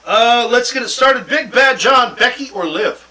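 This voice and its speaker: Boisterous high-pitched male show host. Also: lively intonation, measured pace, pushed and bright, distant mic. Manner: boisterous, jokey, profane. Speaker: host of a wrestling talk show. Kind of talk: casual banter and sports predictions.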